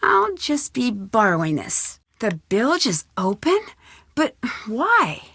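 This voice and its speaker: Curious young woman sleuth, adventure game, animated intonation, plucky, inquisitive self-talk, very dry close sound. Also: fairly high pitch, slow, gravelly, slightly rough, dry recording, heavily acted. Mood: thoughtful, practical. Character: curious, plucky young female amateur detective. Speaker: video game protagonist. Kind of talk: scripted adventure game voice acting, talking to herself.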